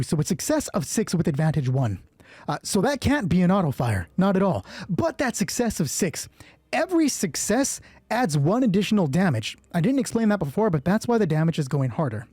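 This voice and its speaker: A man's voice: fairly high pitch, dark tone, breathy edge, dry recording. A man game mastering a solo roleplaying game, thinking aloud through dice rolls in casual, sweary commentary.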